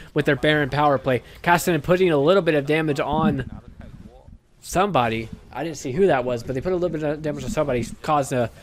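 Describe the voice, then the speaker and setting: Raspy young man casting esports play-by-play. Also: on the high side. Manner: frequent restarts and stumbles, rising to excited shouting in fights. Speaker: esports caster. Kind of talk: solo play-by-play shoutcast.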